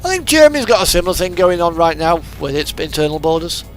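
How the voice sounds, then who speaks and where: A man's voice: a little high, very animated, fast. A man giving casual, rambling commentary over a driving game, musing and thinking aloud as he goes. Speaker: video game streamer narrating a truck simulator drive. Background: music and vehicle engine sound.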